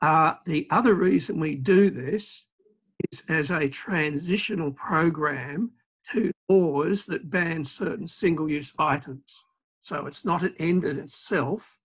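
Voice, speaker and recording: A man, answering panel questions on a video call in a measured way with many pauses. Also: a little high, a bit bright, breathy edge. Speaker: environmental campaigner, panelist. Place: home office, indoors. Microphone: video call webcam.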